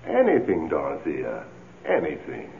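An actor playing the amorous, coaxing ghost of a philandering husband in a radio drama, pleading and smooth-talking. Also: male voice, rumbling low, huge pitch swings, unhurried, exaggerated voice. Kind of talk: old radio drama acting. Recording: tinny, hissy old radio recording.

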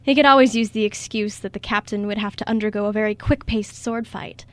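A woman's voice: medium pitch, fairly even intonation, a little fast. A woman reading scripted story narration as the narrator of a radio drama.

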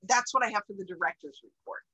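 A woman's voice: mid-range voice, quick pace, pushed and bright, husky, low-quality recording. A woman library director, giving a chatty report on a video call with many fillers and restarts.

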